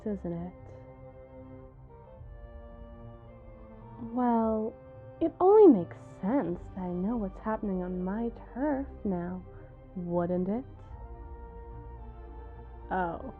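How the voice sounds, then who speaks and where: A woman's voice: medium pitch, phone-quality sound. A woman playing a menacing, mockingly sweet female mob boss in a dramatic ASMR roleplay, taunting and drawing out her words for emphasis. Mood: mocking, smug.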